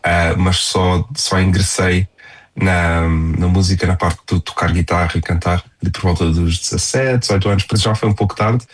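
A man's voice: deep voice, steady tone, moderate pace. A young man with a European Portuguese accent, chatting casually as a singer-songwriter in an interview.

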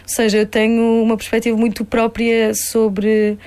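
Lively young woman singer chatting. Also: a little high, normal speed. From Portugal (born there, raised in a Spanish-speaking home). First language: Spanish. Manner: animated, laughs often, mixes in English fillers. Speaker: singer-songwriter guest. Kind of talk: casual interview chat.

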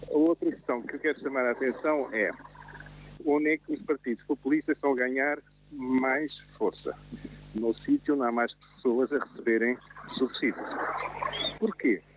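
A man calls a radio forum and sets out his view in an argumentative, measured way. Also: medium pitch, slight background noise, poor audio. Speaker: radio caller. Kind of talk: call-in opinion.

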